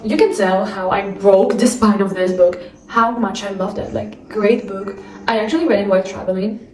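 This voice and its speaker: A woman's voice: medium pitch, steady tone, normal speed, reverberant, distant mic, slight background noise, low-quality recording. A young woman vlogging, chatting and gushing, full of fillers, with a non-native accent. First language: not English. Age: young adult. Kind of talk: casual chat.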